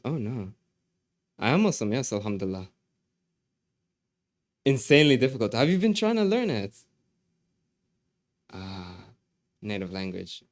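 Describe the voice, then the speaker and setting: Low-voiced man chatting with his stream. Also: no room sound, clean studio sound. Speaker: streamer reading chat. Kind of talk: casual live-stream chat.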